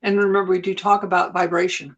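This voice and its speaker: A woman's voice: fairly low pitch, little pitch movement, quick pace, highs cut off. A woman from Houston as a guest in a casual spiritual conversation on a video call, chiming in with brief, affirming remarks.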